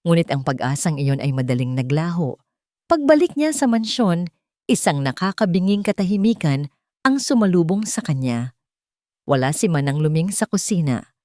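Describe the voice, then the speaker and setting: Low-voiced woman narrating a dramatic story. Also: very animated, normal speed, warm tone, no echo, clean studio sound.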